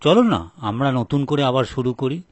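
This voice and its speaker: A man's voice: mid-range voice, lively intonation, normal speed, no echo, close to an everyday voice. A man playing a humble, forgiving younger brother, a village farmer in a folk tale, speaking earnestly. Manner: earnest, conciliatory.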